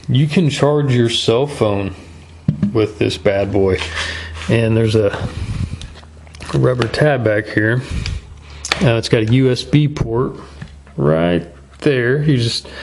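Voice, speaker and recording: A man's voice: medium pitch, darkish tone. A man hosting a casual product review in an informal, folksy, plain-spoken way. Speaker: gear review channel host.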